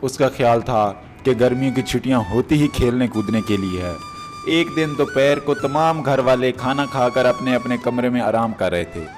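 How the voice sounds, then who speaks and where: A man's voice: mid-range voice, normal speed. A man narrating a moral story for children as a storyteller. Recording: music behind the voice.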